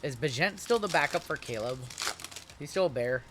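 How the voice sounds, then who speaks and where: A man's voice: on the high side, animated, normal speed, noisy background. A man in his late thirties hosting a live card break, chatting casually with viewers while rattling off player names from each pack. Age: 30s.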